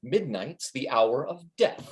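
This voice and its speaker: Man acting, hot-headed male schemer, stubborn, theatrical, very expressive intonation, stage comedy. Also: medium pitch, takes it slowly. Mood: urgent, stubborn. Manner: emphatic, theatrical. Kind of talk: comedic play table reading. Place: indoors, remote video call.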